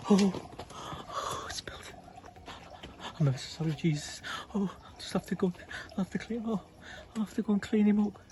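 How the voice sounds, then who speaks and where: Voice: medium pitch, noisy background, voice pushed up, natural voice. Zacchaeus, a flustered little man, as a storyteller puts him on. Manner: flustered, breathless and apologetic. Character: excitable, clumsy little tax collector man.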